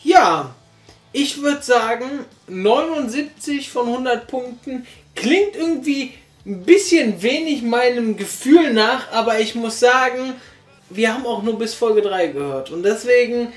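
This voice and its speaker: Slow-talking woman hosting, echoey room. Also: on the high side, expressive. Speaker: host and interviewer. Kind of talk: casual vlog hosting.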